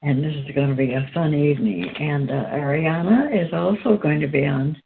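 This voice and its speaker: A woman host reading aloud from a spiritual text in a halting, deliberate way. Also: low-pitched, creaky. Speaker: host and reader. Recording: tinny phone-line sound, background noise.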